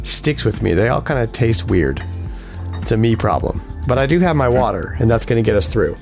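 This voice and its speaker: A man hosting a casual podcast, upbeat and chatty, with a hoarse voice tonight. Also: medium pitch, sing-song, a little fast, darkish tone, faint music behind. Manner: upbeat, chatty announcer delivery. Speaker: podcast host.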